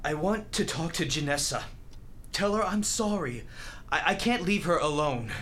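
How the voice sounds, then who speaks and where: An actor in a radio drama playing a grieving, bitter young hospital volunteer, tough but tender, speaking bluntly and haltingly. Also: male voice, on the high side, lively intonation, bright, forceful tone, a little hiss or hum. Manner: halting, emotional, blunt street talk.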